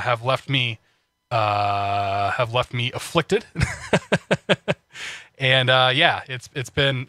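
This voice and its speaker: A man's voice: mid-range voice, bright, forceful tone, dry recording, clean studio sound. A man streaming a flight sim, chatting casually with viewers, with a slightly congested voice and many restarts. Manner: chatty, frequent restarts, laughs often. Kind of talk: casual live-stream chat.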